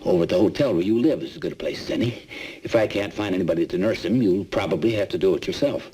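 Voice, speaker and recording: An actor playing a brisk, bossy man in a television Western. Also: low voice, dark tone, natural voice, rough recording. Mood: brusque.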